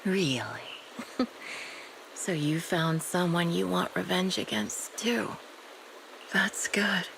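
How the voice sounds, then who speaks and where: An actress playing a vengeful, fatalistic ex-soldier villainess in a video game, speaking in a hushed, taunting way that drops to whispers. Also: female voice, lowish voice, animated, slow pace, creaky, pauses often, a little hiss or hum, full character voice, rough recording. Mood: wry, resigned. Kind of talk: dramatic video game voice acting. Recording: loud music under the voice. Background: music.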